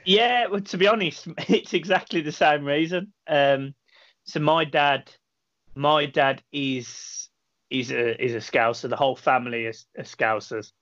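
A man's voice: a little high, fairly even intonation, unhurried, fairly bright tone, no room sound. A man in his thirties hosting a fan channel, chatting casually over a video call from a small room at home and often repeating words as he starts a sentence. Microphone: headset on a video call. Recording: dry, dull lo-fi call audio.

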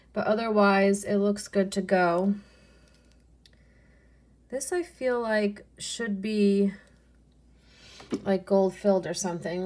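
Woman, young adult, unhurried, slow relaxed talk, soft muttered asides, casual haul video. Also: medium pitch. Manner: unhurried, softly muttering asides, cooing over pieces.

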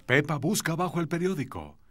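A man's voice: on the high side, sing-song, brisk, faint music behind. A man narrating a children's cartoon in simple storybook style, telling the story to small children. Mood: clear, storytelling.

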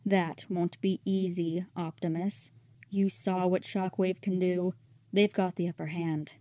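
A woman's voice: fairly low pitch, steady tone, a little slow, dark tone, dry recording, studio quality, natural voice. A woman playing a battle-hardened, bitter robot warrior, stern and weary, in a dramatic comic dub. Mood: grim, matter-of-fact.

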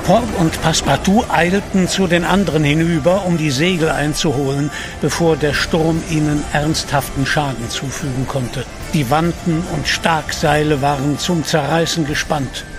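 A male narrator tells an adventure story in a dramatic, scripted manner. His voice is slightly high for a man, with clear music underneath. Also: fairly even intonation, normal speed, few pauses. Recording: music behind.

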